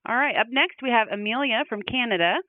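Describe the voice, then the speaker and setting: A woman's voice: on the high side, expressive, fast, no room sound. Female co-host for fan podcast, reads emails and chats, tinny telephone-style audio with no room sound. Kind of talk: reading listener emails and chatting on a fan podcast. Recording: thin phone-like internet call line.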